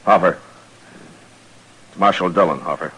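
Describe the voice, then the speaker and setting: Deep-voiced, laconic western marshal. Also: male voice, moderate pace, breathy edge, exaggerated voice, poor audio. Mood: grave. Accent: American. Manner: laconic, steady. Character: stern, dry-humored male frontier US marshal. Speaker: lead actor and narrator. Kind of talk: old-time radio western acting and narration.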